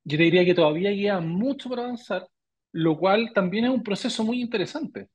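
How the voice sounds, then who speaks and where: A man's voice: high-pitched, breathy edge. A middle-aged Chilean man with a Chilean Spanish accent, answering interview questions thoughtfully and often repeating words as he searches for phrasing. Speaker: guest.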